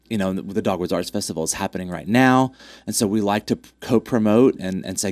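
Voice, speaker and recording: A man's voice: fairly low pitch, a little mellow, no echo. A man with a congested voice, chatting upbeat about upcoming races with lots of fillers. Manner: upbeat, promotional, many fillers. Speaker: track club representative. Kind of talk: casual interview chat.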